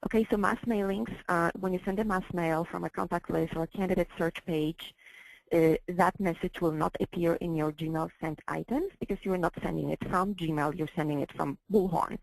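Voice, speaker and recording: Low voiced woman doing a slide training, gravelly fry, kinda halting step by step explaining, dry sound. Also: steady tone, dry recording, rough recording. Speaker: software trainer. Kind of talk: slide-based training walkthrough.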